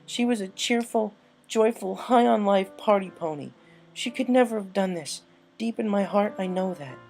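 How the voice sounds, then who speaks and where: Woman voicing a brash, higher-pitched young pony. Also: vocal fry, a little mellow, soft background music. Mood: defensive, earnest. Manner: blunt, impassioned, halting when upset. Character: brash, loyal young female pegasus pony, emotional.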